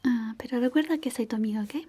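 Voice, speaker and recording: Woman, high soft voice, very mellow, shy caring schoolgirl classmate roleplay, intimate ASMR. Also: fast talker. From Chile. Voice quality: soft, breathy, half-whispered. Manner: gentle, hesitant, intimate.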